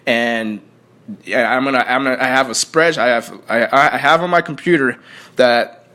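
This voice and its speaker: A man's voice: medium pitch, normal speed, bright, forceful tone. A young man talking casually to his viewers about his investments, rambling with many fillers, repeated words and restarts. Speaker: personal finance vlogger. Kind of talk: casual unscripted talk to viewers.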